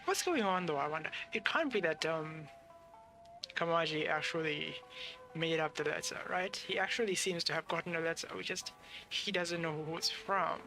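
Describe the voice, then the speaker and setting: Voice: medium pitch, normal speed, bright, forceful tone, soft background music, low-quality recording. A game streamer giving casual, conversational live reactions, thinking aloud about the story.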